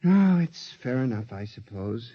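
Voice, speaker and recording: Feverish paranoid clerk, earnest and insistent, swooping delivery, muffled old radio-play sound. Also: male voice, mid-range voice, very animated, measured pace, breathy edge. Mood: agitated, pleading. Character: agitated male psychiatric patient, a paranoid clerk pleading. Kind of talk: 1950s radio drama acting. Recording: muffled vintage 1950s radio recording.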